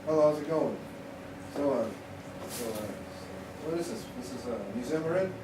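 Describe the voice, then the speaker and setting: A man's voice: on the high side, normal speed, close to an everyday voice. Man as a modest puppet fellow, distant and echoey. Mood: hesitant, friendly. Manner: simple, hesitant, brief answers. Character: modest, polite puppet man who writes books. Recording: roomy, distant mic with clear noise.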